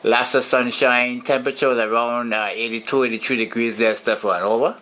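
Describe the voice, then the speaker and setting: A man's voice: medium pitch, fairly even intonation, brisk. A man making easygoing, casual small talk as an amateur operator over two-way radio, using operator jargon. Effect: two-way radio transmission. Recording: radio transmission with background static.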